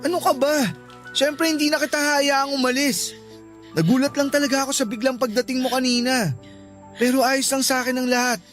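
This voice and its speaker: A man's voice: extremely high pitch, steady tone, brisk, a bit bright, soft background music. A man playing a protective, caring young boyfriend in a Tagalog radio drama, earnest and reassuring.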